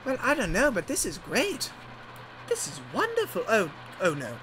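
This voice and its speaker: A high-pitched, excitable train engine, played by a man in an improvised game story, repeating words frantically. The pitch swings widely, with noise in the background. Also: normal speed, full character voice, rough recording. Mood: panicked.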